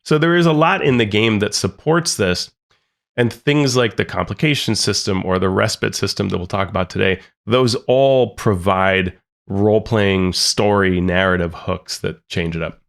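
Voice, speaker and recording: A man's voice: lowish voice, normal speed. A man co-hosting a tabletop gaming podcast, talking in an easygoing, casual way. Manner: easygoing, chuckles and backs up his partner. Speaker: podcast co-host.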